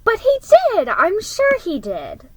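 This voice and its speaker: A woman's voice: extremely high pitch, huge pitch swings, slow, dry recording, pitched above the performer's own voice, exaggerated voice. A woman voicing an earnest, hopeful young girl in a storybook reading. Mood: insistent.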